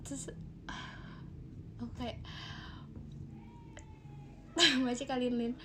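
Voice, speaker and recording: A young woman from Bogor telling a casual, animated storytime, rambling with fillers and laughs. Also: high voice, extremely slow, slight background noise. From Bogor, Indonesia.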